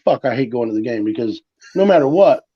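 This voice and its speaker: A man's voice: medium pitch, animated, fast talker, breathy edge. A man co-hosting a casual sports podcast, blunt and profane, talking with emphasis. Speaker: podcast co-host. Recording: dull lo-fi sound.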